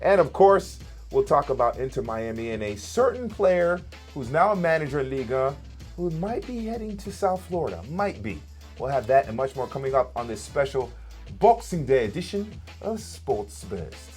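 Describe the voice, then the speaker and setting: A man's voice: medium pitch, very animated, normal speed, a little mellow, faint music behind. A man from the United States hosting a casual sports talk show, chatty and upbeat.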